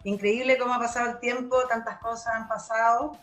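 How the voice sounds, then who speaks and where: A woman hosting an interview, her voice slightly higher than most. She introduces her guest and asks questions in a chatty, easygoing way. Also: fairly even intonation, fairly quick, a bit bright, soft background music. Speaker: host.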